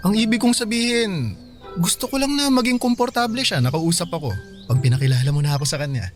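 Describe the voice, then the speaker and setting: Man playing eager, higher-voiced Filipino boyfriend. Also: lively intonation, quick pace, faint music behind. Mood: coaxing, earnest. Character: eager younger boyfriend, affectionate and pushing for commitment. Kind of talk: dialogue in a radio-style romance drama.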